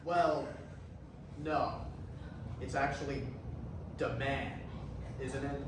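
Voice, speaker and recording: A man's voice: mid-range voice, steady tone, drawn-out words. A man acting a sceptical, hesitant trial official with a mild, formal manner in a stage drama. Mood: mildly sceptical. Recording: echoey hall from far off, noisy.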